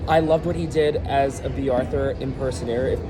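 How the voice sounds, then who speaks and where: A man's voice: medium pitch, fairly even intonation, a little fast, poor audio. A man, a drag performer, telling his story cheerfully in an interview on a convention floor. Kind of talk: casual interview. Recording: loud music in background.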